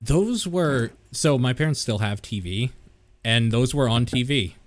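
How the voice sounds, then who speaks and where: A man's voice: mid-range voice, lively intonation, unhurried. A man co-hosting a casual podcast, laid-back and plainspoken, with short replies and a bit of swearing. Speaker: podcast co-host. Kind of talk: casual chat.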